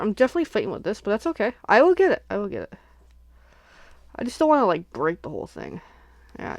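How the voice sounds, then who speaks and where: A woman's voice: mid-range voice, no echo. A sniffly woman hosting a casual crafting livestream, chatting and thinking aloud as she unboxes and works at home.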